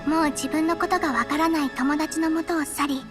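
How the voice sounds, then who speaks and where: A woman voicing a gentle little fairy-like nurse in an anime game, speaking in a soft, composed, girlish way. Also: high voice, fairly even intonation, brisk, close to an everyday voice. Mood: wistful storytelling. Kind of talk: anime game dialogue and fairy-tale storytelling. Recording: music behind the voice.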